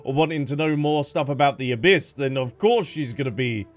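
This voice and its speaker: A man's voice: mid-range voice, brisk. A man giving casual gameplay commentary as a streamer, playful and chatty. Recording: game music behind.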